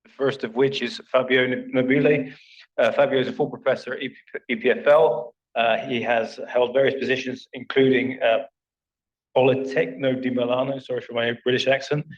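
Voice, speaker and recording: A man chairing a workshop session, briskly introducing the next speaker. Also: medium pitch, little pitch movement. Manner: brisk, quick formal introduction with fillers. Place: lecture hall. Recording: dull, lo-fi lecture recording.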